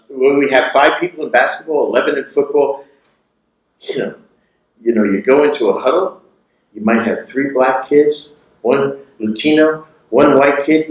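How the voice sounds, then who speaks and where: A man in his sixties giving a motivational keynote speech through a lapel mic, emphatic and repeating his key phrases. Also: medium pitch. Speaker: keynote speaker. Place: large lecture hall. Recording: echoey lecture hall.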